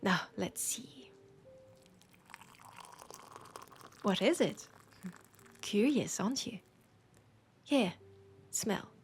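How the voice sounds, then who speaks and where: A woman with a French accent playing a teasing, curious bookshop keeper in an intimate audio roleplay, speaking softly and musingly and often slipping toward a whisper. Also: medium pitch, expressive, takes it slowly, slight background noise. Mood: playful, curious. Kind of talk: intimate audio roleplay, soft acted monologue.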